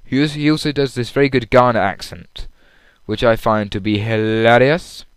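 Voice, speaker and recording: A man's voice: mid-range voice, moderate pace, clear, resonant tone, no room sound. A man telling an anecdote in a casual, rambling solo audio log. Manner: rambling, acts out quoted lines loudly. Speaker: audience member recapping a comedy show.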